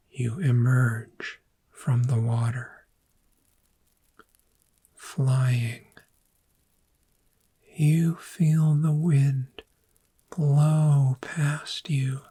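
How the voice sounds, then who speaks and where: A woman playing a gentle, patient dragon therapist in a soft-spoken ASMR roleplay, with a breathy, whispery, measured and reassuring delivery. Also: very deep voice, exaggerated voice. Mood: calm, guiding.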